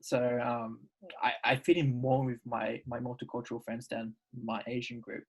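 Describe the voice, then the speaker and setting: A woman's voice: extremely low pitch, flat delivery, moderate pace, breathy edge, rough recording. A woman in her twenties with an Australian accent, chatting casually as a podcast co-host, hesitating and repeating her words. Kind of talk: casual group chat.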